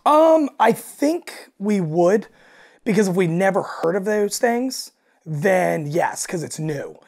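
A man with a raspy voice, talking casually as a podcast guest and telling stories with acted-out asides. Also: high-pitched, animated, slow pace, a little mellow. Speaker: guest, alternative country singer and frontman.

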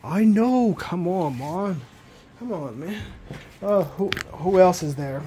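A man's voice: sits high, lively intonation, warm tone, slight background noise. A young man voicing his plush toys in an improvised cartoon, rambling with lots of restarts, recorded on a handheld phone in a bedroom. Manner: rambling, halting, many restarts. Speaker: puppeteer voicing all the plush characters.

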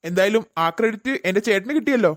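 A woman's voice: medium pitch, racing, close to an everyday voice. Woman playing a wisecracking teenage brother. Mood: sarcastic. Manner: mocking, wisecracking. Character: sarcastic teenage younger brother.

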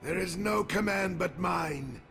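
A man voicing a vengeful, tyrannical giant robot warlord in a video game, gravelly and theatrically menacing. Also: high-pitched, a little slow, a bit bright, faint music behind, full character voice. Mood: cold authority. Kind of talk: video game villain acting.

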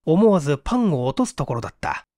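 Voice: mid-range voice, big pitch swings, fast talker, mellow, no echo, studio quality. A stage performer narrating a literary mystery story in a dramatic audiobook style.

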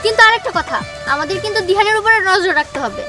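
Actress playing a very high-pitched, brisk policewoman. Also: animated, over music. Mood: earnest. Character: watchful female CID officer, team colleague. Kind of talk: amateur Bengali short-film acting.

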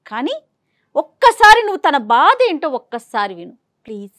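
A woman's voice: high voice, big pitch swings, moderate pace, fairly bright tone, no echo, clean studio sound. A middle-aged woman host counselling in an earnest, persuasive way. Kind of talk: pleading counselling talk. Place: studio.

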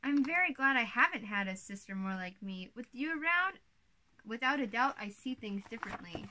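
An exuberant little-sister princess, acted out by a young woman reads a children's storybook. The voice sits high and rings bright. The delivery is playful, bubbly and theatrical. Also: animated, normal speed, a little hiss or hum. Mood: fond. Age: young adult. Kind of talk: storybook read-aloud for children.

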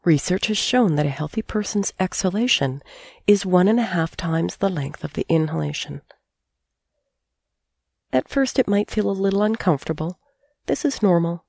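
A woman with a low, mellow voice leads a guided sleep meditation. She sounds calm and soothing, placing her phrases in a measured way with long pauses. The recording is very dry and close, with no room sound. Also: creaky, dry recording. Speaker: meditation teacher.

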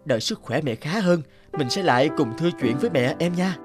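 A man voicing a devoted young village son in an animated folk tale, earnest, gentle and pleading. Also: on the high side, a little fast, natural voice. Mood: tender, reassuring. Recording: loud background music.

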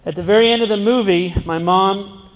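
A man's voice: high voice, normal speed. A middle-aged man giving an earnest talk to students, holding a microphone in a large school gym, sometimes breaking off and restarting and joking with his listeners. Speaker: guest speaker. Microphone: handheld microphone. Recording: long reverb in a large hall. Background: seated student audience.

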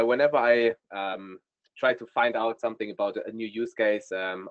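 A man with a German accent presents in a webinar, halting and filling his pauses with frequent ums. His voice sits at a middle pitch. The audio is a little dull and lo-fi, as if heard over a video call. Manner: hesitant, many ums. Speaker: guest speaker, lead data scientist.

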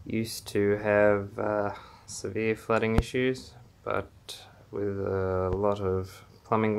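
A man gives a casual, halting walkthrough of his small basement home theater, filming on a handheld phone as he walks. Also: on the low side, vocal fry. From Australia. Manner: unhurried, halting with many fillers. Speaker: home theater owner giving a room tour. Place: small basement room, indoors. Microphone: handheld phone camera, filming while walking.

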